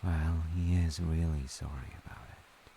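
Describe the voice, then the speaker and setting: A loving dad, played by a man tells a story in a very low, creaky voice. He rambles through wry, conversational asides in a playful audio roleplay manner. A faint hiss sits behind him. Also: a little slow, dark tone, full character voice. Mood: wry, gentle. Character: loving, playful dad telling his child a parable. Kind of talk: audio roleplay, informal bedtime-style storytelling.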